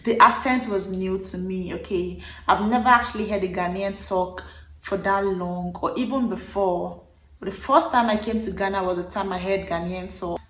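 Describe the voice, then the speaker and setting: A young woman with a Nigerian accent tells a personal story in a lively, emphatic way, leaning on repeated words. Her voice sits in an ordinary middle range. The recording has a noticeably roomy echo. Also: mid-range voice, moderate pace. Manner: animated, emphatic retelling with repeated phrases. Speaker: vlogger. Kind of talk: casual storytelling to camera.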